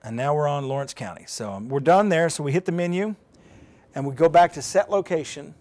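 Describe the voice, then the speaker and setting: Middle aged tv weather guy, bit higher pitched, doing a casual step by step demo in studio, talks himself through it. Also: lively intonation, brisk, darkish tone. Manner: informal, thinking aloud while handling a device. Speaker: TV weather presenter. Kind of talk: live how-to demonstration. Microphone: lapel mic.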